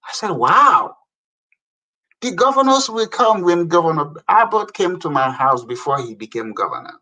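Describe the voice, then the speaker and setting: A middle-aged man from Africa, a guest telling lively stories about public service in a casual talk, laughing often. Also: high voice, moderate pace, far from the mic. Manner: animated storyteller, laughs often. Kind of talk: casual radio conversation.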